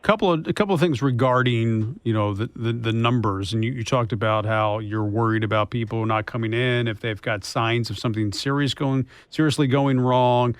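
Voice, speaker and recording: A man's voice: medium pitch, moderate pace, hardly any pauses, dry recording. A man hosting a radio show, interviewing in a conversational news-talk style.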